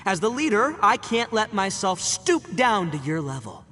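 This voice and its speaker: Loud show-off oni boss, bright twangy voice, laughs at everything. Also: male voice, sits high, very animated, normal speed, faint music behind, full character voice. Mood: boastful. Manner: brash, loud, laughs a lot. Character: boastful rowdy male oni gang boss. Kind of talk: video game voice acting. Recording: game audio with long reverb.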